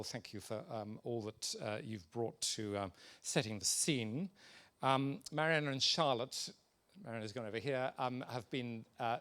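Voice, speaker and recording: A man in his sixties with a mid-pitched voice addresses a conference informally. He speaks as a senior office holder, halting often as he finds his phrasing. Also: a bit bright. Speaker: president of a conservation institute. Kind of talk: informal welcome speech to a conference.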